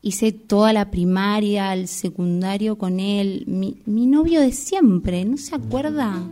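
A woman playing an earnest, talkative long-time therapy patient in an amateur radio play, with a Rioplatense Argentine accent and a halting, polite, apologetic manner. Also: mid-range voice, mellow, natural voice. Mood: anxious doubt. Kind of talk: amateur radio theatre acting.

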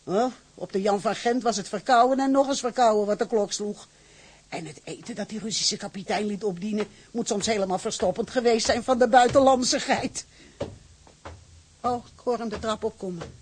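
Husky chatty fussing mother acted by a woman. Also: mid-range voice, moderate pace, darkish tone, natural voice. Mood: chattering, anxious. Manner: fussy, garrulous, hesitant and deferential. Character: chatty, deferential widowed mother of a sailor. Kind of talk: Dutch radio drama acting.

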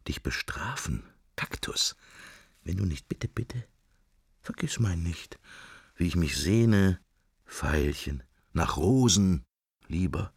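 A brooding middle-aged man lost in furtive, sensual thoughts for a radio play. His very low voice is husky and full of creak. He muses in murmured fragments, drifting from one thought to the next. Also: no echo, clean studio sound, full character voice. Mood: dreamy, brooding. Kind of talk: radio drama interior monologue.

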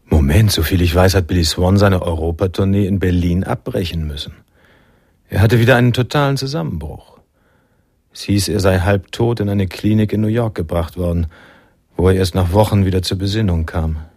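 An actor playing the reflective male narrator of a noir jazz radio play, with a deep, gravelly voice. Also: normal speed, a little mellow, no room sound, clean studio sound. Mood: matter-of-fact. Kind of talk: scripted radio drama narration.

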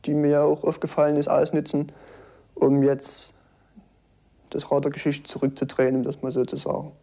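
A man giving reflective testimony in deliberate, measured phrases. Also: a little high, mellow. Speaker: former state security insider giving testimony.

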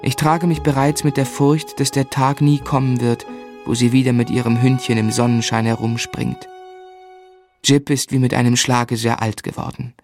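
A reflective young husband as an actor plays him narrates a radio drama in a medium-pitched voice. He is tender, quiet and wistful, with music behind him. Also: level tone, moderate pace, lightly acted. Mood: wistful narration. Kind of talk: scripted first-person radio drama narration.

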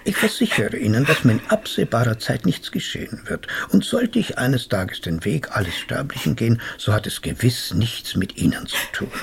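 An actor playing a courteous, wry psychotherapist in a radio drama, with a polite, old-fashioned, professorial way of speaking. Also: male voice, medium pitch, very animated, moderate pace, vocal fry, hardly any pauses, a little hiss or hum. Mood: reassuring, lecturing. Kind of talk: radio drama acting and narration.

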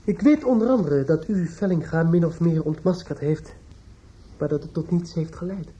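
A man's voice: a little high, normal speed, mellow, natural voice. An actor in a radio play playing a bitter, grieving younger man, formally polite but pointed and reproachful. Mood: indignant. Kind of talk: Dutch radio play acting. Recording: dull old radio recording.

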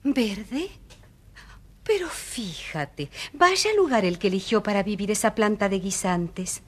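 Storybook mother: caring, practical, fussing, medium pitch with lively intonation. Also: takes it slowly. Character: caring, practical poor widowed mother of sick girl. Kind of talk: children's audio storybook acting.

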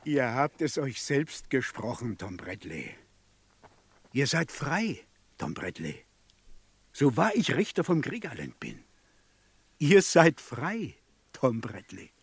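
An actor playing a troubled, reluctant frontier judge in a German Western radio play, husky and gravelly, speaking formally. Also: male voice, mid-range voice, huge pitch swings, no echo, heavily acted. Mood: solemn. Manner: slow, weighty, formal address. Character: anguished, reluctant male frontier judge, a family father.

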